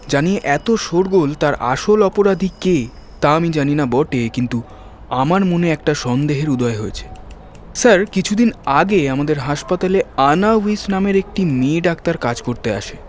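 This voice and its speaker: Guy playing a polite young doctor in a crime audio drama, earnest, a bit high pitched, faint noise behind. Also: animated, moderate pace, natural voice. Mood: earnest, confiding. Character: young male surgeon, polite, earnest informant. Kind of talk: dramatised detective audio story acting.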